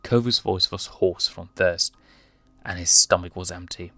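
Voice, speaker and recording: A man narrates scripted fiction as a storyteller. His voice is deep, yet it has a sharp, forceful edge. Music plays faintly behind him. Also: fairly even intonation, measured pace, no echo.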